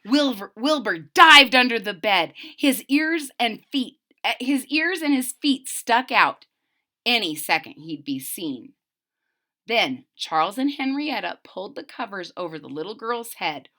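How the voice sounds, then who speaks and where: A woman reading a picture book aloud to children in a dramatic, animated way, stopping to explain things. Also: on the high side, a little slow. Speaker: children's story reader. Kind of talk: expressive read-aloud with explanatory asides to children.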